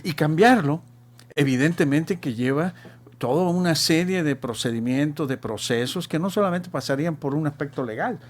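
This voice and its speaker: A middle-aged man from Chile with a Chilean accent, speaking as a guest in a panel discussion, measured and repeating words as he searches for terms. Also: a little high.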